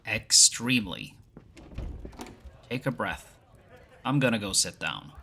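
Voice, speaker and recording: A man's voice: lowish voice, big pitch swings, slow, creaky, pushed and bright, full character voice. A man playing a laid-back, vain young shifter who teases and reassures in an audio roleplay. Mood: dry teasing. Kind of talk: audio roleplay acting, casual banter.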